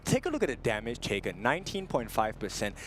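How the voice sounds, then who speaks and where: A man giving post-game esports analysis on a studio desk, talking loudly and animatedly and laughing easily. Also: medium pitch, a little hiss or hum. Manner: loud, animated, quick to laugh.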